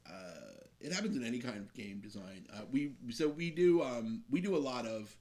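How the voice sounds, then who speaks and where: A man's voice: medium pitch, lively intonation, normal speed, darkish tone. A man speaking as a game designer guest, explaining his craft in a casual conversational way.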